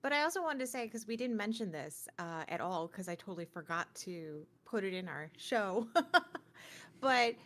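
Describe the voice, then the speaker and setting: A woman co-hosting a streamed show, chatting casually and informally with an excitable way of talking. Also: medium pitch, normal speed. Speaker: co-host and game streamer.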